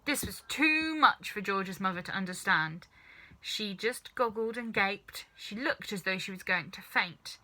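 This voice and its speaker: A woman narrates a children's book expressively. Her voice is bright and crisp at a middle pitch, with very lively intonation. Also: unhurried, rough recording. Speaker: storybook reader.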